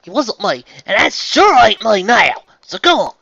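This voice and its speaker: A man voicing a blunt, hardworking farmer pony mare with a loud Southern drawl, reading a fan story aloud. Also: high-pitched, wildly swooping pitch, normal speed, creaky, breathy edge, no room sound, muffled recording, voice pushed up, heavily acted. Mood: defiant. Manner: loud twangy drawl, impassioned.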